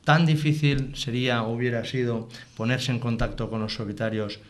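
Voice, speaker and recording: A man in a local TV debate arguing his point in a measured way, with frequent hesitations. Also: mid-range voice, steady tone. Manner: measured, argumentative, many hesitation fillers. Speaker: debate participant.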